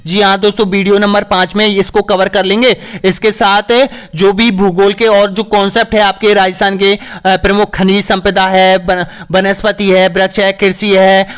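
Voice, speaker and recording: A slightly hoarse man teaching an exam-prep quiz lesson, asking each question and then giving the answer, with a frequent "friends, here" as a filler. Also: sits high, little pitch movement, moderate pace, few pauses, a bit bright. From Rajasthan, India. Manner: repeats "dosto yahan par", asks then answers.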